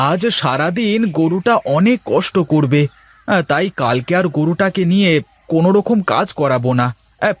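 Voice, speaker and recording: A man voicing a kindly, hardworking village farmer and doting father in a moral-story cartoon, speaking gently and affectionately. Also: high voice, no room sound. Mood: caring.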